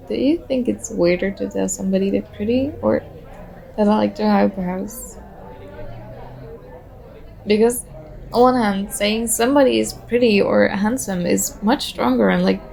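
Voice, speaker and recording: A woman's voice: mid-range voice, gravelly, warm tone, rough recording. A woman playing a very shy, nervous young stranger in an ASMR roleplay, talking hesitantly and self-consciously as she overthinks. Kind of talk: ASMR roleplay, soft conversational acting.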